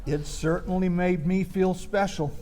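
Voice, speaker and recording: A man telling a first-person comic reminiscence as a storyteller, in a wistful, hesitant manner with pauses. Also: high voice, steady tone, mellow.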